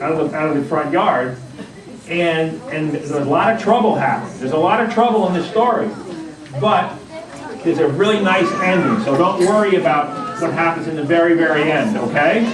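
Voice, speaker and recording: A high-pitched man talks to children as a puppet show presenter. He explains things simply and reassuringly, repeating himself and asking questions. The sound is distant and echoey, in a reverberant room. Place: indoor library event room. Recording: echoey room, distant mic, loud music.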